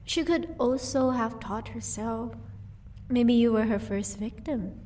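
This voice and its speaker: A haughty vampire mistress played by a woman talks in a lightly high voice, a little away from the microphone. She sounds amused and teasing yet coolly matter-of-fact, in the style of an audio drama. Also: slow pace, warm tone, slight background noise. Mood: casual, musing. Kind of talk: audio roleplay acting. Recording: voice distant from the microphone.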